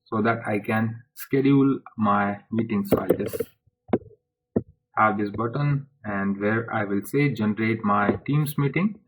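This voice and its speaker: A man presenting a software tutorial step by step in an informal way, speaking haltingly in short phrases. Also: on the low side, slightly rough, rough recording.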